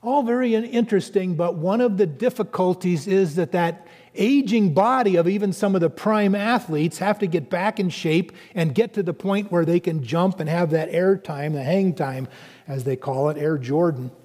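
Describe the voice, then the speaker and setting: Male pastor, high voice, animated sermon delivery, echoing church acoustics. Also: moderate pace. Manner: animated preaching with conversational asides.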